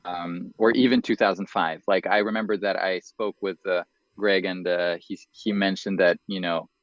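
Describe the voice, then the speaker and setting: Quick, hesitant young man, lo-fi sound. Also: deep voice, steady tone, no room sound. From United States, raised in France. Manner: quick, hesitant, many fillers and restarts. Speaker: podcast guest. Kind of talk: casual interview chat.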